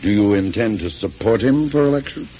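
An actor playing an earnest, wary political adviser, urgent yet deferential, in an old radio drama. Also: male voice, on the low side, lively intonation, fairly quick, mellow. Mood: brisk, respectful. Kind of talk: 1940s radio drama acting. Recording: dull old radio recording.

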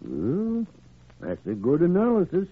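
An actor playing a gruff, cranky old senior doctor with a soft heart, blustering and fatherly in a 1940s radio drama style. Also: fairly high pitch, wildly swooping pitch, measured pace, exaggerated voice. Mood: gruffly fatherly. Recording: vintage radio recording, narrow band.

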